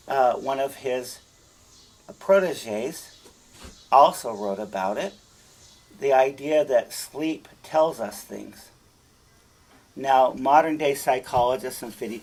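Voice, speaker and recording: Husky man reading and explaining like a teacher. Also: medium pitch, a little mellow, low-quality recording. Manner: emphatic, deliberate, checking in with listeners. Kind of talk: storytelling read-aloud with explanatory asides.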